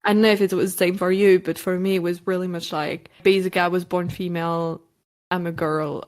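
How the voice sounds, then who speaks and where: A woman's voice: on the low side, flat delivery. Upbeat young woman hosting a podcast. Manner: friendly, upbeat, slightly halting questions. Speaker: podcast host. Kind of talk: casual interview.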